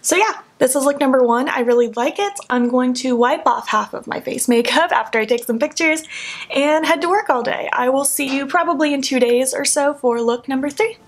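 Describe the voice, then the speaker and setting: A young woman in a casual makeup tutorial, talking softly with a sleepy early-morning voice and narrating each step as she works. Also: high-pitched, fairly quick. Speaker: beauty vlogger. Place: at home.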